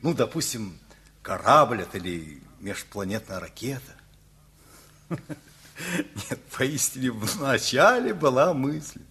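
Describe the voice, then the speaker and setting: An earnest, effusive engineer of middle age in a radio comedy. His voice is very husky and swings widely in melody. He muses and rambles with emphasis, easily flustered. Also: mid-range voice, very animated, slow pace, pauses often, sharp tone, exaggerated voice. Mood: dreamy, enthusiastic. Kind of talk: Russian radio comedy play acting.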